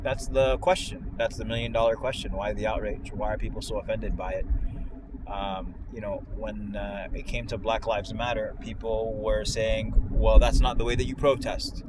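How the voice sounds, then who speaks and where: A man's voice: lowish voice, flat delivery, normal speed. An American man in casual discussion as a co-host, explaining his points emphatically. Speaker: show co-host. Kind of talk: casual discussion while driving. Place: inside a moving car. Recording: loud car and road noise.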